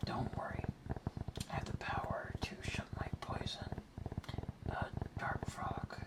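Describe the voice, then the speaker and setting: An earnest, welcoming rainforest frog guardian, played by a man in an ASMR roleplay. The delivery is a breathy whisper, very slow and deliberate, dwelling on repeated words. It sounds set back a little from the microphone. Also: recorded from a distance, lightly acted. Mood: welcoming, reassuring.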